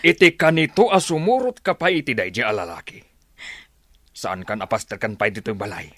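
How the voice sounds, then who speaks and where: A man's voice: fairly low pitch, expressive, a little fast, a bit bright, no echo, clean studio sound. An actor in a radio drama playing a stern older father, harsh and scolding. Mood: angry, scolding. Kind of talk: Ilocano radio drama acting.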